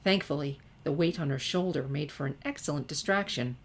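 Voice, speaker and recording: A woman narrator with a low-pitched voice reads fiction aloud. Her delivery is scripted story narration, told in the manner of an audiobook. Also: brisk, creaky. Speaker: narrator, fan fiction reader.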